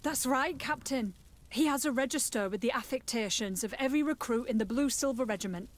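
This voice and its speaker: A woman's voice: on the high side, brisk, close to an everyday voice. A woman playing a dutiful, deferential guard soldier in a video game, clipped and respectful. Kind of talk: video game dialogue acting.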